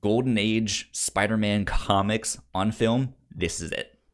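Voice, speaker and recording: A man in a casual film debate as a co-host, talking haltingly and often stammering and repeating words. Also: lowish voice, fairly even intonation.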